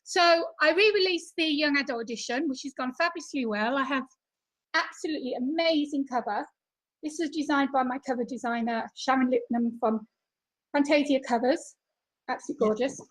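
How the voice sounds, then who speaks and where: A woman's voice: sits high, a little fast, low-quality recording. A British woman being interviewed as a guest author, chatty, with many fillers and easy laughter. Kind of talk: casual interview over video call.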